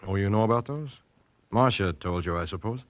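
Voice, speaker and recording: Urbane, deep-voiced scheming uncle played by a man. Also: no room sound, highs cut off, lightly acted. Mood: genial. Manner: urbane, polite, measured probing. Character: smooth, genial, calculating rich uncle and trustee. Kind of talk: 1940s radio drama acting.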